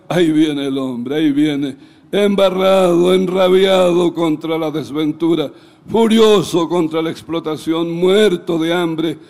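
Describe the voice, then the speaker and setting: A man giving a dramatic, expressive recitation of a poem in a declamatory manner. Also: fairly high pitch.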